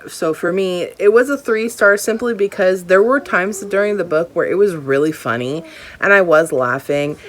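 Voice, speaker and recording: Young female book vlogger, low pitch, opinionated and insistent, casual delivery. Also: slight background noise. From Hawaii. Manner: emphatic, opinionated, repeats phrases.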